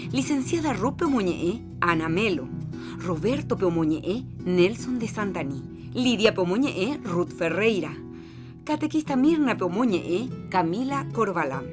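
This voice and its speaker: A woman's voice: mid-range voice, lively intonation, a little slow. Paraguayan lady reading the credits, formal, guitar playing under. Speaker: credits announcer. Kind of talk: reading radio credits in Guarani. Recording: studio voice over guitar music.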